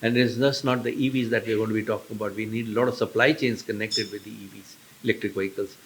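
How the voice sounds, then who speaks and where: A man chairing a conference session, summing up and inviting speakers in a measured, formal way. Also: on the low side, steady tone, a little hiss or hum, poor audio. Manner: measured, formal, with filler restarts. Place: conference hall.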